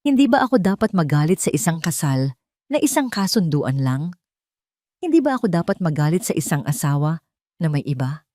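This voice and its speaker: A woman's voice: sits low, sing-song, fairly quick, mellow, no room sound, studio quality. A woman voicing a hurt, angry young wife who accuses and pleads in a Tagalog audio drama. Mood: wounded, defiant. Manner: accusing, pleading rhetorical questions.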